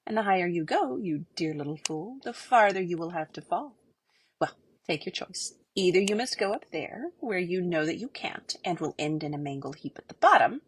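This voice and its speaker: A woman's voice: on the low side, breathy edge. A woman voicing a menacing male villain in a storybook reading, taunting and mocking.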